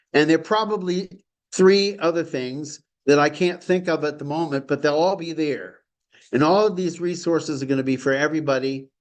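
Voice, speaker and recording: A man teaching an online study class, enthusiastic and rambling, with frequent chuckles. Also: on the high side. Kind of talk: informal lecture on a call.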